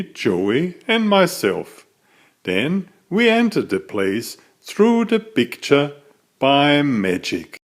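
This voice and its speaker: Slow, very animated male storybook reader. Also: medium pitch. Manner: deliberate, dramatic storytelling. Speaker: children's book author reading aloud. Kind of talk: expressive scripted storybook narration.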